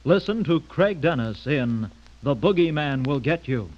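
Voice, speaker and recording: Muffled old radio sound, male announcer, fairly high voice, dramatic vintage delivery. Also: lively intonation, unhurried, a little mellow.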